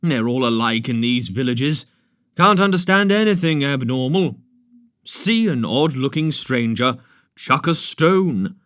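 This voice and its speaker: A man reading aloud, voicing a brisk, bossy village doctor who talks in a loud, brusque, blustering way. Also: medium pitch, animated, dry recording, studio quality.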